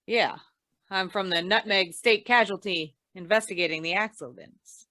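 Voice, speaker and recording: A woman playing a wry, shrewd hard-boiled insurance investigator in deadpan 1940s radio noir style. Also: mid-range voice, moderate pace, no echo, close to an everyday voice. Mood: wry, probing.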